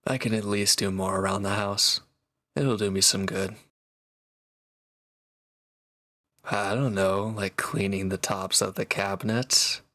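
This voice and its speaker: Man acting a low, unhurried, sardonic villain. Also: pushed and bright. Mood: calm, conceding. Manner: dry, teasing, casual delivery with sighs. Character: sardonic, teasing reformed male villain recovering from wounds. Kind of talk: intimate roleplay audio drama, one-sided dialogue.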